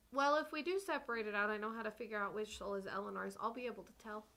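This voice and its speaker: Woman, very bright forceful voice, gravelly fry, tabletop game player, flippant banter. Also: medium pitch, fairly quick.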